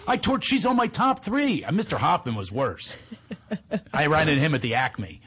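A deep-voiced man spinning comic tales in a relaxed chat, his pitch leaping and swooping as he acts out every character. His tone has a slight husk to it, and laughter keeps breaking into his stories. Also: moderate pace, husky. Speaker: guest telling stories.

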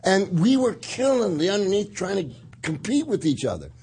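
Older man with a husky voice. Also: high-pitched, big pitch swings, normal speed, darkish tone. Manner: gravel-edged, laughing, swaggering anecdotes. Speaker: podcast host. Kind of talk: casual storytelling chat.

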